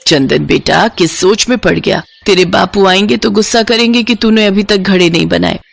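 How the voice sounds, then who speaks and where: A woman voicing a caring, practical poor village mother in an animated moral story, with a concerned, motherly manner. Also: medium pitch, normal speed, creaky, dry recording.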